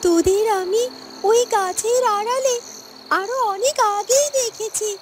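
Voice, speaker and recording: Woman as a very high-voiced cartoon river fairy. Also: a little slow, noisy background. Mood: stern, scolding. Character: kindly but stern female water fairy of a river. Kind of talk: Bengali fairy tale cartoon acting.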